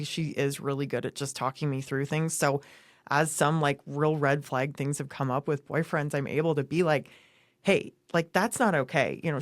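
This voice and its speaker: A woman's voice: low voice, no echo, clean studio sound. A middle-aged woman co-hosting a podcast, talking casually and giving emphatic advice. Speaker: podcast co-host. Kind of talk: casual conversational podcast talk.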